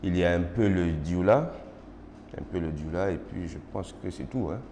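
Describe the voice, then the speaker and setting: A middle-aged man from Côte d'Ivoire with an Ivorian French accent, whose first language is Baoulé, answering formal interview questions in a measured way with many hesitations. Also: deep voice. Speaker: interviewee, magistrate. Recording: noisy roomy recording with long reverb.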